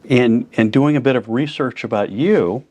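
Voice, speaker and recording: A man's voice: mid-range voice, moderate pace, darkish tone. Friendly man hosting a podcast interview. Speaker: podcast host.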